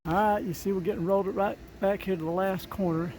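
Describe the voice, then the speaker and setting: A man, his voice rough from a cold, casually narrating his DIY roof work outdoors in a folksy, rambling way. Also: high voice, steady tone, quick pace, darkish tone, slight background noise, poor audio. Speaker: DIY vlogger. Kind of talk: casual narration while working.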